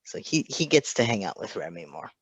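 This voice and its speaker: A woman's voice: rumbling low, sing-song, brisk, husky, no room sound. A woman co-hosting a fan fiction reading, chatting casually and giggling. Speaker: co-reader and podcast co-host. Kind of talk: casual chat.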